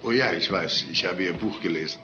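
An actor playing a wry, inquisitive police detective in a German crime radio drama, with an understated manner. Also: male voice, low-pitched, brisk, muffled recording, over music, close to an everyday voice.

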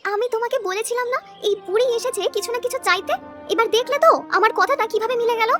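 A woman playing a blunt, suspicious village farmer's wife in a cartoon, speaking briskly and matter-of-factly. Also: extremely high pitch. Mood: irritated, suspicious. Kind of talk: children's cartoon voice acting. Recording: loud music behind the voice.

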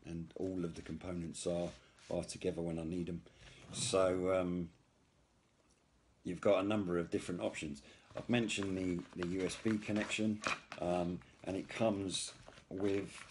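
A middle-aged British man giving a casual product demonstration in a halting, hesitant way, as a video host. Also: low voice, background noise.

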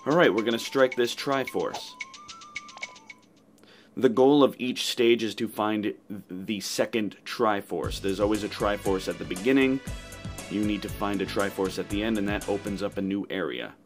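A man's voice: lowish voice, moderate pace. A man hosting a video game walkthrough in a casual, explanatory commentary style. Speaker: gamer, walkthrough host. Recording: voice-over with game music behind.

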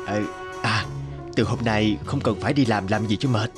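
A man voicing a wealthy company director in a cartoon, smooth-talking, patronising and dismissive. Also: fairly low pitch, normal speed, natural voice. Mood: suave. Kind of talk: Vietnamese cartoon dubbing. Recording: guitar music under the voice.

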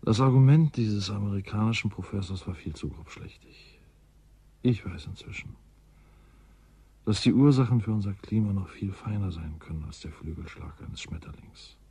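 Brooding scientist, very husky, dark mellow tone, halting delivery, radio drama. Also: male voice, fairly low pitch, exaggerated voice. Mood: musing, lecturing. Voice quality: husky, gravelly, breathy. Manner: slow, halting, deliberate. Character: brooding male scientist, tender, resigned theorist. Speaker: actor in a radio play. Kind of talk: German science fiction radio drama acting.

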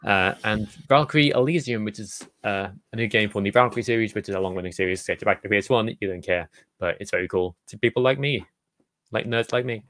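A young man in his twenties reading out gaming news on a podcast. His voice sits low and sounds dry and close. He is upbeat and laughs easily. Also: fast talker, a bit bright, no echo. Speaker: podcast co-host, news reader. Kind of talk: casual chat.

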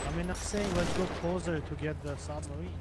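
A man's voice: a little high, fairly even intonation, a little fast, very noisy. A man casually talking plans over with other players in a game voice chat.

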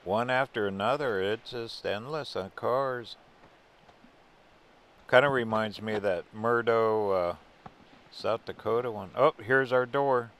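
A man's voice: mid-range voice, a little slow, fairly bright tone. An older man narrating a casual walk through a museum as a travel vlogger, easygoing and wry with chuckling asides. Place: indoor museum buildings.

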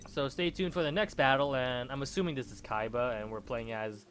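A man's voice: medium pitch, fairly quick, a bit bright. A man casually commentating a card game as he plays, thinking aloud, often stopping and correcting himself. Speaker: gaming channel host. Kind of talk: let's play commentary.

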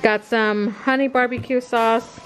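A woman's voice: a little high, fairly even intonation, measured pace, over music. A woman in her thirties chatting casually at home as she shows her grocery haul to the camera, rambling with fillers. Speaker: vlogger. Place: home kitchen, indoors.

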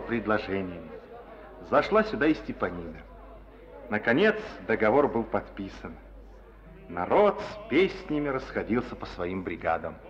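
A man narrating a Soviet radio drama in a measured, solemn storytelling voice. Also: lowish voice. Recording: dull old archival radio recording.

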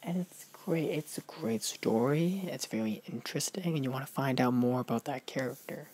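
A man talks through a film review in a loose, casual vlog style, full of praise. His delivery is emphatic and gushing, and he often stops and restarts mid-sentence as he hunts for words. His voice sits at a middling pitch. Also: normal speed, darkish tone, slightly rough.

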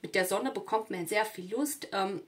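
Casting: female tarot reader, mid-pitched voice, informal spoken delivery straight to the viewer, frequent little check-in tags. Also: normal speed. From southern Europe. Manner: conversational, often checking in with "ja". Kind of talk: casual spoken card reading to viewers.

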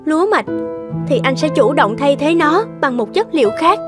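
An actress playing a caring, worried middle-aged wife in a cartoon, with a gentle, soothing manner. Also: female voice, sits high, expressive, moderate pace, over loud music. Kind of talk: animated moral-tale cartoon dubbing.

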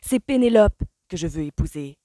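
A hot-tempered young gentleman barks his lines in forceful outbursts, as a woman reading the book puts him on. The sound is dry and close. It is a storybook voice with raised, sharp delivery. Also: deep voice, normal speed, no room sound. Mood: angry.

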